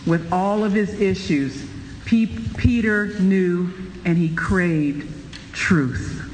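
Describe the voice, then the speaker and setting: High-voiced man leading a Bible study. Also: slow pace. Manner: preachy, animated, exclaiming. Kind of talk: church Bible study talk. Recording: roomy reverb, light background noise.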